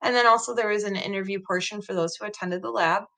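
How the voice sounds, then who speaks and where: A woman's voice: mid-range voice, steady tone, quick pace, gravelly, sharp tone. A young adult woman whose first language is Croatian, earnestly presenting her research study over slides, recorded on a laptop webcam. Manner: earnest, explanatory, frequent "um" fillers. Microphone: laptop webcam video call.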